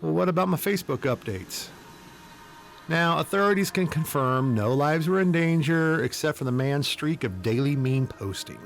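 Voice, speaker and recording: Wry male podcast host over music. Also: mid-range voice. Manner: wry, tongue-in-cheek. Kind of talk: casual humorous storytelling commentary.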